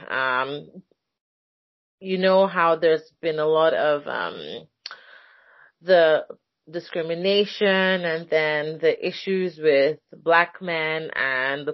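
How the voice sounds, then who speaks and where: A woman with a low, deep speaking voice hosts a relaxed conversation, talking in a chatty way and pausing often with fillers. The sound is dry and a little dull. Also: dry recording. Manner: chatty, hesitant with many fillers. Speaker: podcast host. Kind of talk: casual conversation.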